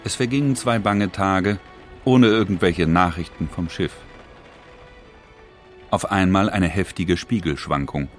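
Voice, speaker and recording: A man playing a patient inventor, reading his memoir in a deliberate, formal way. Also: low-pitched, no echo. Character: Werner Siemens, patient anxious inventor recalling events. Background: music.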